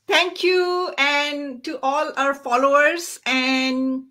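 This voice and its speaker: A woman hosts a casual online livestream in an Indian accent. Her voice sits high and has a bright, forward ring. She speaks as a presenter addressing her followers. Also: steady tone, takes it slowly. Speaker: host of an online business show.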